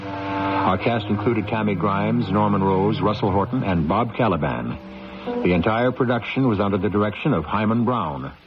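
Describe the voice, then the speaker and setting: A man's voice: on the low side, moderate pace. A man reading a scripted announcement as a radio drama announcer. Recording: muffled lo-fi radio recording, clear noise.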